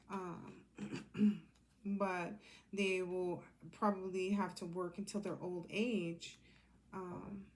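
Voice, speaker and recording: A woman tarot reader talks in a casual, blunt monologue at a middling pitch. She wanders between asides in an informal way. Faint noise and small clicks sit behind her voice. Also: a little mellow.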